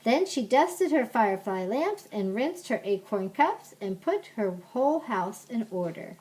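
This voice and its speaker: An older woman reading a storybook aloud like a grandmother, with gentle, simple asides to a young listener. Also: on the high side, big pitch swings, a little slow, slightly rough, rough recording.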